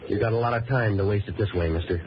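Deep, wisecracking American noir tough guy, acted by a man. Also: quick pace, natural voice. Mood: sardonic. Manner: sardonic, deadpan. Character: wisecracking, cynical waterfront boat-rental man, hardboiled noir hero. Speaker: lead actor and narrator. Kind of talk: 1940s radio noir acting. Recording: muffled, noisy old radio recording.

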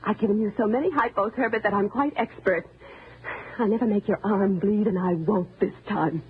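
An actress playing a timid, resentful wife turned murderous, in old-time radio thriller style, menacing and bitter. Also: female voice, medium pitch, moderate pace, slightly rough. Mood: calm menace. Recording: noisy, narrow-band old radio recording.

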